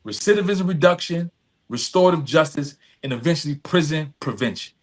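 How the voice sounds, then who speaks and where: A man's voice: on the high side, lively intonation, brisk. A middle-aged man telling stories as an interview guest, earnest and emphatic, repeating phrases and often checking in with "right?". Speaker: podcast guest, nonprofit executive director. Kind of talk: conversational interview storytelling.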